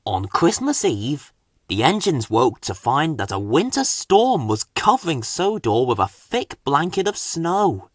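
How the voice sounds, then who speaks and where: A man reading a children's storybook aloud as a scripted narrator, with an expressive, animated delivery. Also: on the high side, a little slow, dry recording, studio quality. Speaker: story reader.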